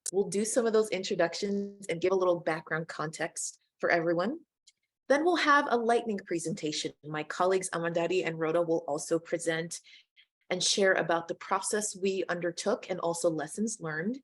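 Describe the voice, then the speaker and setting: A middle-aged American woman moderating and presenting in a webinar, speaking in a measured, inclusive way straight to the audience. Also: lowish voice. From United States.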